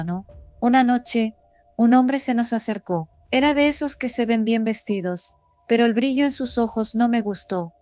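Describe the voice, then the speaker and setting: A woman's voice: a little high, a little mellow, dry recording. A woman reading a scripted first-person horror story as a narrator. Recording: voice over background music. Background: music.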